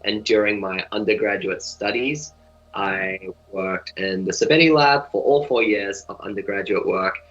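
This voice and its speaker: A young man, a medical student answering interview questions in a relaxed, rambling way full of fillers. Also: on the low side, normal speed. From New Zealand. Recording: dull lo-fi audio.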